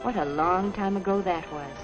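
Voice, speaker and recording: An actress playing a polite, earnest young American office worker, narrating a 1950s training film in a measured, proper way. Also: female voice, fairly low pitch, natural voice. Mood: reflective, earnest. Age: young adult. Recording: dull 1950s film soundtrack, music behind.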